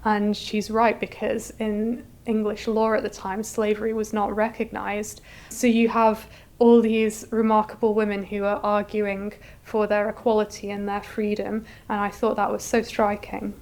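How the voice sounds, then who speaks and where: A woman's voice: medium pitch, completely flat delivery, normal speed, a little mellow. A woman curator giving an articulate, scholarly explanation in an interview. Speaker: exhibition curator being interviewed.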